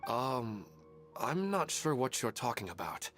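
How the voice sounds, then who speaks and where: A man's voice: mid-range voice, very animated, unhurried, music in the background. A man voicing an easygoing, puzzled character in a video game, speaking casually.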